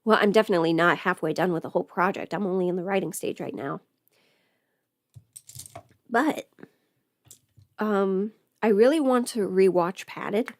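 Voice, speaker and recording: A woman's voice: mid-range voice, darkish tone, dry recording, clean studio sound. A woman chatting informally on a livestream, rambling and thinking aloud as she goes. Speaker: livestream host, audio creator. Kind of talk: casual livestream chat.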